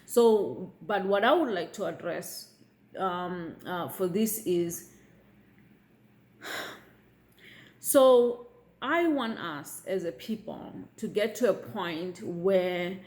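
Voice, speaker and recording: A middle-aged woman shares her opinions in a casual vlog. Her delivery is unhurried and deliberate, and she keeps pausing to check that her viewers are following her. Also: mid-range voice, darkish tone. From South Africa. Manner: measured, repeats "okay?" to check in with viewers. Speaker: vlogger.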